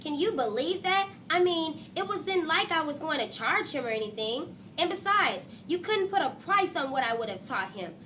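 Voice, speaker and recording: A woman's voice: high-pitched, expressive, moderate pace, hardly any pauses, pushed and bright, a little hiss or hum, pitched above the performer's own voice. A woman voicing a confident, competitive schoolgirl who loves sports, insistent and boastful, in a storybook reading. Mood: indignant. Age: child. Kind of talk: storybook character read aloud.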